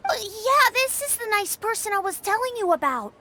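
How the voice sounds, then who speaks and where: A woman's voice: very high voice, sing-song, moderate pace, noisy background. A woman voicing an innocent, eager little boy in a video game, with childlike casual speech. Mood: eager, forgiving. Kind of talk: video game character dialogue.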